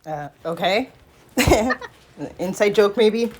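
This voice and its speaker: A woman's voice: medium pitch, expressive, drawn-out words, slight background noise. A woman narrating a commentary video over clips, gossipy and wry.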